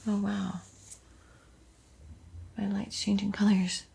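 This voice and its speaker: A woman hosting an ASMR art tutorial in a soft, breathy whisper, gentle and unhurried, with frequent pauses. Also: medium pitch, monotone. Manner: slow, gentle, pausing often.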